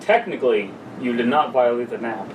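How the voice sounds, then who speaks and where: A man's voice: mid-range voice, brisk. A young man co-hosting a podcast, moving between casual chat, dry joking asides and reading aloud. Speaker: podcast co-host. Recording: echoey room, distant mic, faint noise.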